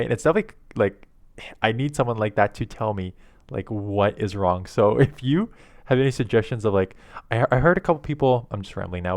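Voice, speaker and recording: A man, rambling casually to camera in a monotone, low-key way on an old headset mic at his home desk. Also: no echo, studio quality. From Canada. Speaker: YouTube content creator. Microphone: old headset microphone.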